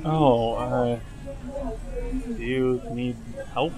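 A man voicing an awkward, downcast young man in a fan dub, talking in a halting, casual, evasive way. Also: mid-range voice, fairly even intonation, music in the background, low-quality recording. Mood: sheepish.

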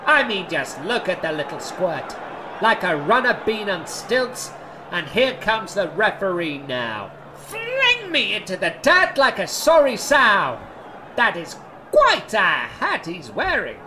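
A woman playing an excitable, scornful medieval match commentator with folksy turns of phrase, in a spoof of sports commentary. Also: medium pitch, slow, a little hiss or hum, full character voice.

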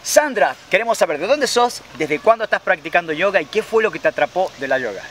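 Man, 40s, Rioplatense Spanish accent, fitness host, higher voice, interviewing outdoors, faint background noise. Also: very animated, fast, a bit bright. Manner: friendly, leading questions, voseo. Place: outdoors by a park lake.

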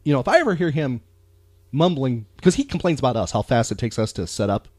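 A man's voice: medium pitch, big pitch swings, fast, a little mellow, no room sound. An American man hosting a podcast, chatting in a casual, folksy, rambling and emphatic way. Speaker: podcast host.

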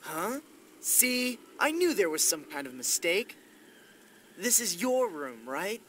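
A cocky teenage trainee knight from a video game, with a high, very animated voice. He sounds brash and whiny, over clearly noisy audio. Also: takes it slowly, exaggerated voice. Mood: indignant. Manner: brash, complaining. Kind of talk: scripted video game acting.

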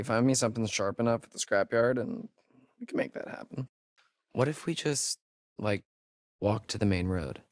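Nervous young American wisecracker, gravelly fry, dry close recording, hesitant, sardonic, horror game character. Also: male voice, on the low side, no room sound. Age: young adult. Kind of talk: naturalistic video game acting.

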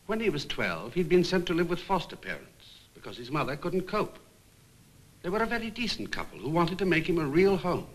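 An actor playing an articulate British psychiatric nurse, gravely narrating a case history in a 1950s film. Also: male voice, very animated, poor audio. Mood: grave, explanatory. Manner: measured, grave, emphatic. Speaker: hospital staff member recounting a case history. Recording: faintly rumbling 1950s film soundtrack.

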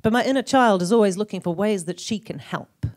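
Female spoken-word poet, New Zealand accent, mid-range voice, rhythmic and emphatic dramatic delivery. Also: medium pitch. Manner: emphatic, deliberate phrasing with swings between hushed and forceful delivery. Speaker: slam poet. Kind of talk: slam poetry performance, rhythmic and dramatic.

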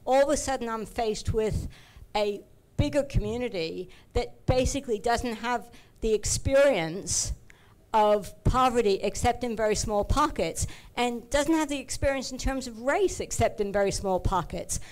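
A woman's voice: fairly high pitch, normal speed, a little mellow, low-quality recording. A woman in the audience at a conference, speaking confidently and directly as she asks a question. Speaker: audience member asking a question.

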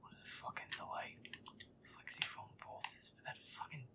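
A man's voice: moderate pace, close to an everyday voice. Man playing a feisty, whispery, grumbling boyfriend. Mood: annoyed muttering. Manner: exasperated, sweary, sarcastic. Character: feisty werewolf boyfriend grumbling through a scary game. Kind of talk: gameplay roleplay, muttered reactions.

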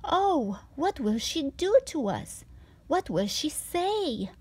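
A woman's voice: sits high, big pitch swings, takes it slowly, darkish tone, pitched above the performer's own voice, exaggerated voice. A woman voicing an anxious, bossy pet fish in a children's storybook, fretting and scolding emphatically. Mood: frantic, fearful. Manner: emphatic, alarmed, repeating words. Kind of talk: children's storybook read-aloud.

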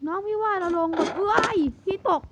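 Cartoon big brother, hyper and bossy, high chipmunk pitch, voiced by a man, noisy track. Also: a little fast. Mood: excited. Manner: excited, shouting warnings. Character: excitable big-brother gamer, bossy and brave. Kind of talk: cartoon narration. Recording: noisy recording.

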